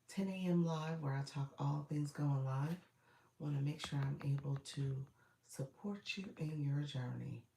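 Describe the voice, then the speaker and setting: A woman coaching viewers on live streaming in a casual, chatty way, congested from a cold with a froggy voice, often clearing her throat and using fillers. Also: sits low, warm tone, slight background noise. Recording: roomy echo.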